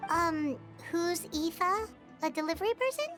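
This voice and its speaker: A woman voicing a tiny, childlike fairy companion in a video game, squeaky, perky and curious. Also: expressive, a little slow. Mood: puzzled. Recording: game music behind the voice.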